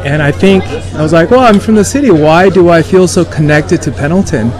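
A man's voice: a little high, expressive, fairly quick. A man from China who grew up in Los Angeles, talking earnestly and informally as an interview guest. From born in rural China, raised in Los Angeles. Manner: informal, peppered with 'like' and 'you know'. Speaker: interview guest, cancer center operator. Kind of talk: casual, earnest interview talk. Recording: crowd and traffic noise behind.

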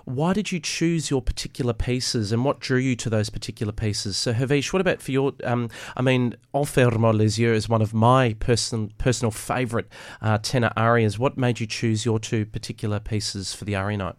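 A man's voice: medium pitch, brisk, few pauses, dry recording, clean studio sound. A man interviewing singers, polite and encouraging, with frequent ums and hesitations.

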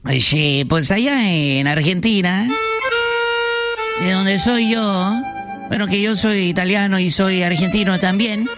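A man's voice: high voice, measured pace, loud music behind the voice. A man putting on a smug, flirty landlord. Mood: sly.

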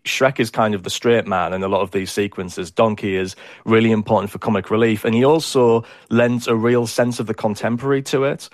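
A man's voice: lowish voice, dry recording, studio quality. A man with a British accent giving explanatory answers as an academic guest in a radio interview. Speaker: animation historian, interview guest.

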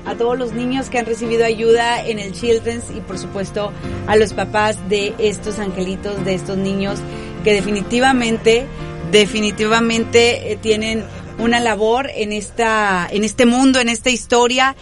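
A woman hosting a radio interview during a radiothon, speaking courteously and slowing down to stress key points. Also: on the high side, level tone, over music. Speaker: radio host. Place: radio studio, streamed live on video.

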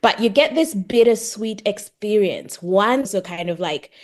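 A woman's voice: mid-range voice, expressive, measured pace, a little mellow. A middle-aged woman from Zambia answering interview questions as a guest, explaining things reflectively in a conversational, searching way. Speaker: podcast guest, tech project manager and author.

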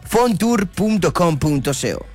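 A man hosting a casual radio show, relaxed and chatty with hesitant fillers. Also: sits high, slow, loud music behind the voice. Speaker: radio host. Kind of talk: casual radio chat with a read travel promo.

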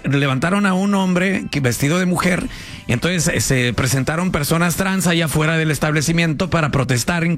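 A man's voice: a little high, a bit bright. A man hosting a radio talk show, speaking casually to a caller and using slang. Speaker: radio host.

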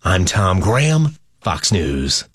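A man reading a scripted weekly news roundup as a network reporter, with punchy, measured delivery. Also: low voice, sing-song, noisy background.

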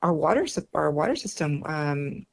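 A woman's voice: sits low, big pitch swings, normal speed, gravelly. A woman born in Mexico whose first language is Spanish, answering interview questions as a political candidate, earnest and repeating phrases for emphasis.

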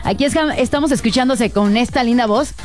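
Female radio host, central Mexican accent, effusive and chatty, high voice, slightly stuffed-up. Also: a little fast. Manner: effusive, affectionate with listeners. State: congested with a cold or allergy. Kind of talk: chatty live radio presenting. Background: light music bed.

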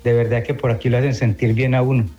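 A Colombian man with a mid-pitched voice sends a casual, heartfelt message to a radio show. The sound is dull and lo-fi like a phone recording, with music under it. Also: little pitch movement, fast, mellow. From Armenia, Quindío, Colombia. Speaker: listener leaving a voice message.

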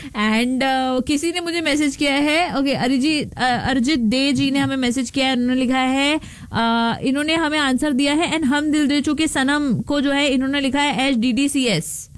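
A woman's voice: sits high, normal speed, dry recording. A young Indian woman hosting a radio show in a casual, playful, chatty way, slipping English phrases into her talk. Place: radio studio.

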